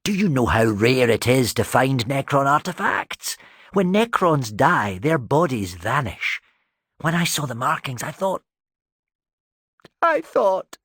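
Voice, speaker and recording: A man's voice: medium pitch, sing-song, unhurried, a bit bright, breathy edge, dry recording, clean studio sound, pitched above the performer's own voice, heavily acted. A man voicing a guilt-ridden male scholar who pleads with a cracking voice. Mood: pleading.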